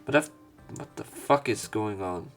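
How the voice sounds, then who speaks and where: A man's voice: lowish voice, expressive, measured pace. A man reading a visual novel aloud as a let's player, mixing narration with casual, crude commentary. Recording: background game music. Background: game music.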